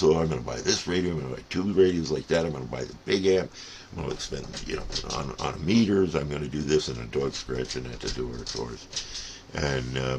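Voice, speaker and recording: An older man in a casual, rambling hobby vlog, giving folksy advice about gear with frequent digressions and repeated words. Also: very deep voice. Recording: noticeable steady background noise.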